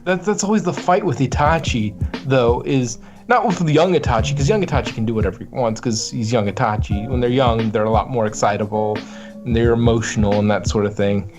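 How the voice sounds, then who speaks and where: A man talks casually as a podcast guest with music clearly playing behind him. He is reflective and halting, working out his thoughts on acting as he goes. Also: mid-range voice, lo-fi sound. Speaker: guest voice actor.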